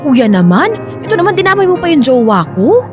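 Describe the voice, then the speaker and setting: A cheeky young kid sister in a radio drama. Her highish voice swoops playfully, sassy and slangy, with soft music behind. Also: very animated, brisk. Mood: indignant.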